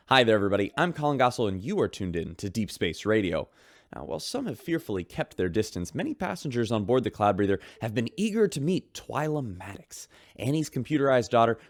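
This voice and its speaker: Chatty ship radio host, low voice, lively delivery, dry studio sound. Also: male voice, no room sound. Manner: chatty, upbeat broadcaster.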